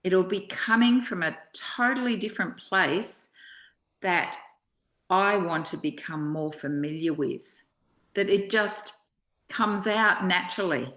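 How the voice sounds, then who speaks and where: A woman sharing spiritual reflections in conversation, contemplative and pausing between short phrases, with a roomy echo on her voice. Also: fairly low pitch, fairly bright tone. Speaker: study discussion partner. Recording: roomy, echoing sound.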